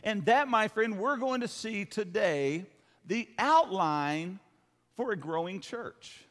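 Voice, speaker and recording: High-pitched older pastor in a reverberant hall. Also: male voice, lively intonation, slow pace, a bit bright. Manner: folksy, emphatic, seeks amens from listeners. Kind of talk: sermon to a congregation. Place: church hall, speaking from the stage.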